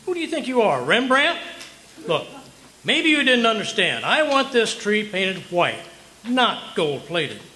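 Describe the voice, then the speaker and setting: A man's voice: high voice, very animated, a little slow, sharp tone, full character voice, poor audio. A man playing a blustery, stubborn, penny-pinching husband in an old-time radio comedy, with indignant, folksy exaggeration. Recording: live stage performance with background noise.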